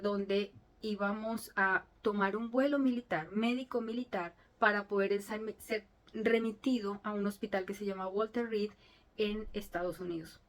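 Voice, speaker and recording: A middle-aged woman, a native Spanish speaker, telling a personal story in an earnest, emotional way, with frequent restarts. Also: medium pitch. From Colombia. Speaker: vlogger telling her story.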